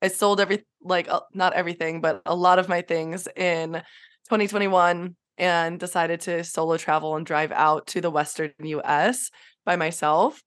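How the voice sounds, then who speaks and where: A woman whose voice is raspy from allergies, chatting in a relaxed, rambling way on a podcast. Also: on the low side, normal speed. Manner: relaxed, rambling, laughs mid-sentence. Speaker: podcast co-host and guest. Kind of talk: casual chat.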